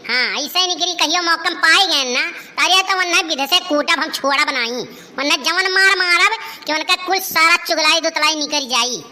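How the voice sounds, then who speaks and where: A scolding village gossip from a comedy cartoon, with an extremely high, piercingly bright voice. She complains in colloquial rural speech with an eastern Uttar Pradesh accent, always ready for a quarrel. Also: moderate pace, heavily acted. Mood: indignant, plotting. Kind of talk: exaggerated cartoon comedy dialogue in rural dialect.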